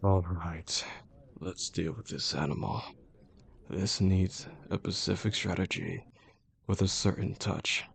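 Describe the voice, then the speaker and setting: A deep-voiced male boss, acted by a man speaks directly to the listener in an audio drama. He is composed and formal, choosing words with measured care. His reassurance carries a quietly obsessive devotion. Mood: cold, calculating. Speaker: voice actor in a roleplay audio drama. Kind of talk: intimate scripted roleplay audio addressed to the listener.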